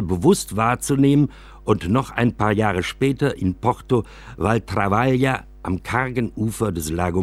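Expressive low-voiced wry storyteller. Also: male voice, husky, no echo, exaggerated voice. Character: man recounting his railway-side childhood with wry exaggeration. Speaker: narrator. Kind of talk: scripted first-person radio play narration, storytelling.

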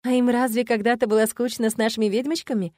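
A woman's voice: a little high, steady tone, fast, darkish tone, no echo, clean studio sound, pitched above the performer's own voice, natural voice. A woman voicing a sly, teasing female ghost of a witch.

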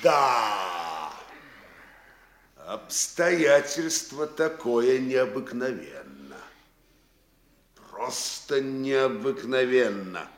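An actor playing a slow, ponderous provincial judge in a studio radio play, with a drawling, ponderous manner. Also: male voice, mid-range voice, sing-song, a bit bright, roomy sound, heavily acted. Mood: puzzled.

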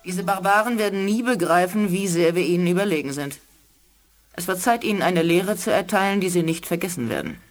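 A woman's voice: lowish voice, fairly quick, faint music behind, close to an everyday voice. Haughty, imperious alien woman commander played by an actress. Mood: scornful. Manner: imperious, threatening. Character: haughty, ruthless alien woman commander who scorns humans. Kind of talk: 1980s German radio play acting.

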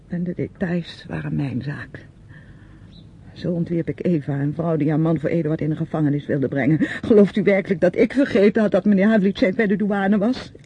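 An actress playing a bitter, grieving younger woman in a radio drama, theatrical and reproachful, lingering over her words. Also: slightly rough. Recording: muffled old radio recording, faint rumble.

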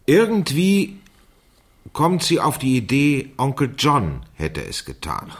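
An actor playing a man who reads a teenage girl's diary aloud in a theatrical, mocking way in a radio crime play. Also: mid-range voice. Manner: theatrical, dictates punctuation marks aloud.

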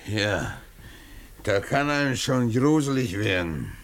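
A man's voice: fairly low pitch, sing-song, takes it slowly, fairly bright tone, full character voice. An actor playing a tipsy male village tavern regular in a German radio play. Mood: tipsy, teasing.

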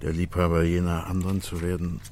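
A very deep-voiced, respectable man under suspicion in an old radio drama. He sounds cornered, halting and strained as he answers. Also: monotone, darkish tone, slight background noise. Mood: resigned. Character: cornered, respectable married man accused of murder. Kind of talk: 1960s German radio play acting.

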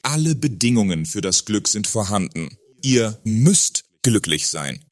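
A curious, eager male Earthling played by a man in a satirical radio play. His fairly low voice moves with lots of expression and theatrical flair. The recording is dry and close. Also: lively intonation, unhurried, dry recording. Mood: incredulous.

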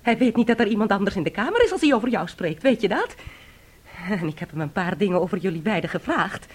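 An actress in a Dutch radio play playing a young bride-to-be who is weary, defiant and spiteful, with a needling manner. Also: female voice, medium pitch, very animated, fast talker, husky. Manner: evasive, needling, boastful.

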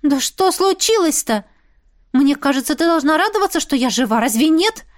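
A woman's voice: high voice, animated, moderate pace, dry recording, clean studio sound, pitched above the performer's own voice. A woman voicing a plucky, wry countess with witchly powers in an audiobook, exclamatory and insistent. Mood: alarmed, baffled.

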